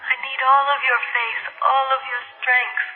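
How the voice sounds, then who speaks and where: An actress playing a ghostly dead wife in a 1970s radio drama, slow, needy and entreating, on a tinny old broadcast. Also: female voice, high-pitched, little pitch movement, close to an everyday voice. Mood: urgent pleading. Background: music.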